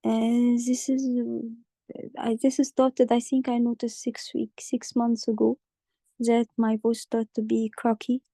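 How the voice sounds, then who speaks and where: A woman playing a hoarse, croaky patient. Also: on the high side, flat delivery, dark tone, dry recording. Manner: halting, many pauses and restarts. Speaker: simulated patient in exam practice.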